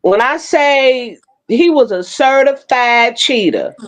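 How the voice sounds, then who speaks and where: A woman in her fifties chatting frankly on an online panel, telling her own stories with lively, blunt humor. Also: sits high, takes it slowly. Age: 50s. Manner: frank, animated storytelling with Southern-inflected slang. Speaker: panel co-host. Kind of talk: casual chat. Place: Oklahoma City.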